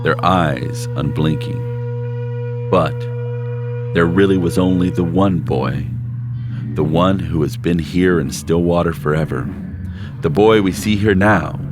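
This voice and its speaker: A man reading a literary short story aloud in measured, unhurried scripted narration, with slowed phrases. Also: deep voice. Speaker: story reader. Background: background score music.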